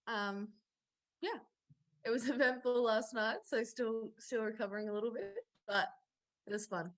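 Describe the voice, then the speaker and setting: A woman from Australia, a guest on a video podcast, chatting in a relaxed, giggly way over a video call. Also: fairly high pitch, moderate pace. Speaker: guest fighter. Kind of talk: casual interview chat.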